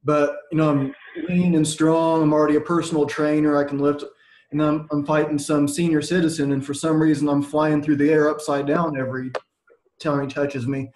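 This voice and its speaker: Relaxed podcast host in an echoey room. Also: male voice, fairly high pitch, a little fast, slight background noise. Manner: relaxed, filler-heavy, trailing off quietly. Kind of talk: casual interview chat.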